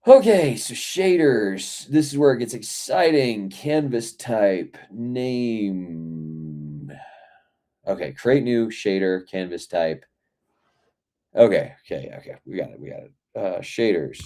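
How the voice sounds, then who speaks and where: A hoarse man with a dark, mellow low voice, losing his voice as he works. He speaks slowly and rambles, muttering half to himself while walking through a game dev session. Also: expressive. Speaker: indie game developer. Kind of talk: casual dev log, thinking aloud while working.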